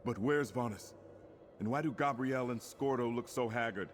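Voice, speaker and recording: A man's voice: medium pitch, normal speed, natural voice. A man playing an earnest, formal warrior prince. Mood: concerned, urgent.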